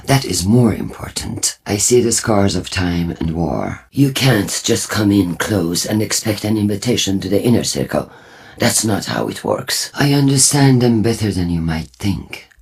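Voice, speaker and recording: A man playing a solemn, shrewd war-cult trader and prophet in a video game, speaking in a low, husky, measured and cryptic way. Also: darkish tone, full character voice. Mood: dismissive, then solemn, knowing. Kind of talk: scripted video game dialogue.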